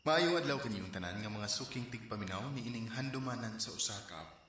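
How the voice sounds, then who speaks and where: A man's voice: on the low side, animated, brisk, lightly acted. A man playing an earnest young boyfriend who narrates his own story and hesitates in the scenes. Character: Atan, young man from the city, eager boyfriend. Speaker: radio drama actor. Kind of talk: radio drama narration and dialogue. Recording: very echoey room, faint hiss.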